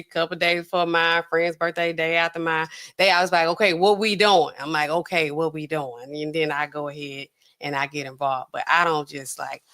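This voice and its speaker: A woman, hosting a casual livestream and chatting through viewer comments in a sassy, animated way. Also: on the low side. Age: 40s. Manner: chatty, sassy, animated swings between loud and hushed. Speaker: livestream host.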